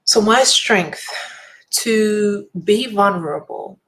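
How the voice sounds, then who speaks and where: A woman's voice: medium pitch, slow pace, a bit bright, low-quality recording. Earnest motivational woman speaker. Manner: earnest, inspirational, emphatic repetition. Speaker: speaker and event host. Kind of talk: motivational direct-to-camera talk.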